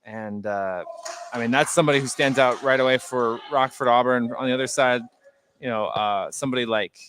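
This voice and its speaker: A man giving live colour commentary on a basketball game, analysing in a conversational, halting way. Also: mid-range voice, a bit bright, slight background noise. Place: indoor high school gymnasium.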